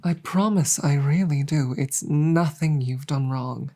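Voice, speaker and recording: A woman voicing an embarrassed, affectionate dragon girlfriend in a roleplay audio drama, speaking formally and apologetically with many halting stammers. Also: deep voice, dark tone. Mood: flustered, tender.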